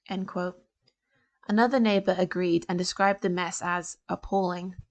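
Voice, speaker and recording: Woman, creaky edge, deliberate formal delivery, newspaper reading. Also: mid-range voice. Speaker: volunteer newspaper reader. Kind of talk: scripted news reading for a talking newspaper.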